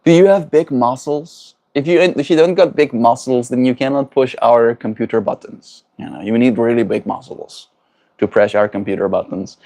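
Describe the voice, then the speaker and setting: Reflective low-voiced man chatting to viewers. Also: darkish tone. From South Africa. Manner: reflective, keeps adding tag questions. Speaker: livestreamer. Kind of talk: casual livestream chat, answering viewers.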